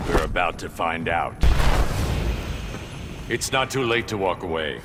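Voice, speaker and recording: A man's voice: sits low, lively intonation, a little slow. An actor playing a grim, commanding male vigilante mentor, grave and admonishing, in a video game. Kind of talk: video game battle banter. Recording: long reverb, loud battle noise.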